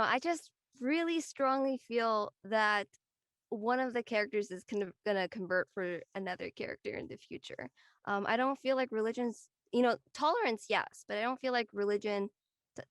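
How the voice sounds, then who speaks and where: A woman's voice: medium pitch, dry recording, studio quality. A woman giving her opinions in a casual discussion, explaining in an emphatic, conversational way. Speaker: panelist.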